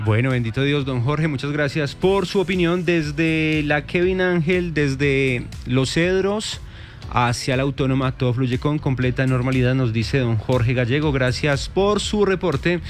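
A man hosting a morning radio traffic show, lively and joking as he reads listener reports. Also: medium pitch, measured pace, soft background music. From Colombia. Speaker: radio host.

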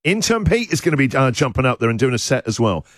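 A man's voice: mid-range voice, very animated, quick pace, no room sound, studio quality. A middle-aged male radio host, playful and giggly, reading out listener puns in comic banter.

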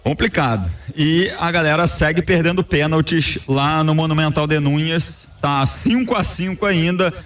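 A man hosting a live football talk show, relaxed and agreeable. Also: a little high, moderate pace, hardly any pauses, far from the mic, faint music behind. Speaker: show host.

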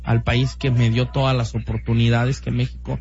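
A man's voice: medium pitch, flat delivery, moderate pace, a little mellow. A man from Mexico with a Mexican accent, a radio guest making an emphatic, opinionated case full of rhetorical questions. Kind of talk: casual radio talk. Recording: dull lo-fi radio sound with music.

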